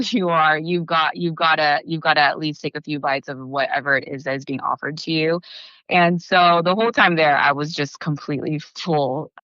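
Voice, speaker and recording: Bright, chatty Filipino-American woman guest. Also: low voice, dry recording, highs cut off. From Philippines, raised in California. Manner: chatty, lots of fillers and restarts. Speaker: bakery founder, guest. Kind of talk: casual interview talk.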